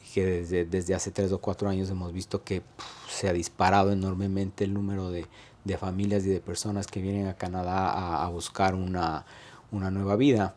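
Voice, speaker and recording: A man in his thirties with an Ecuadorian accent and a fairly low voice. He talks through his story in a relaxed interview, with frequent fillers and halting restarts as he searches for the next words. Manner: hesitant, many "eh" fillers and restarts. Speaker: guest, restaurant owner. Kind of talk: conversational interview.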